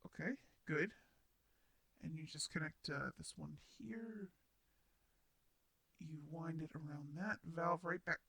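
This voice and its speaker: Bright-voiced, shy robot roommate played by a man. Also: fairly high pitch, takes it slowly, creaky, long pauses, husky, exaggerated voice. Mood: gentle instructing. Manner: stammering, hesitant, nervous laughs, sighs. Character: shy, flustered, kindly male robot roommate. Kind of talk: intimate roleplay audio drama addressed to the listener.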